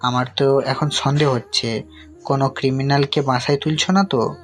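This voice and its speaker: A man's voice: medium pitch, steady tone, normal speed, lightly acted. A man acting a sceptical, reproving middle-aged father who guards family honour in an audio story. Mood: suspicious, reproving. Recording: music under the voice.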